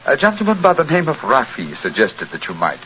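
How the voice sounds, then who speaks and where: An actor in a 1940s radio drama playing a calm, commanding gentleman detective with a British accent and precise, formal speech. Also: mid-range voice, brisk. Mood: courteous, reassuring. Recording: muffled, hissy old radio recording.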